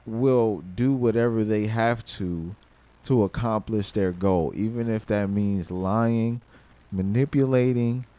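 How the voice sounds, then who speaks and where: A man in his thirties livestreaming, a rapper talking casually and swearing freely, mixing thoughtful musing with loud excitement. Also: medium pitch, dry recording. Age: 30s. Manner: reflective, then loud and excited with laughter and swearing.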